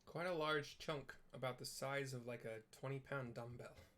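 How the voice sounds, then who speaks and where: A man's voice: mid-range voice, steady tone, moderate pace, fairly bright tone. A man giving casual running commentary on a video game, chatty and given to rambling asides. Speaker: gamer, let's player. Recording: faint noise, room ambience.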